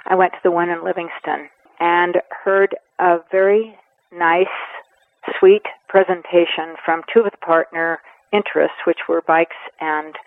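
A woman in her seventies giving a radio interview, talking earnestly and with emphasis, pausing to weigh her words. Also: lowish voice, gravelly, no echo. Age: 70s. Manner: earnest and emphatic, with measured pauses. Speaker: conservation advocate guest. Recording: tinny phone line, dry.

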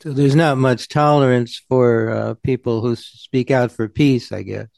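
A man's voice: medium pitch, steady tone, normal speed, creaky, a little mellow, no room sound. A man interviewing a guest with probing, conversational questions. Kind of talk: radio interview.

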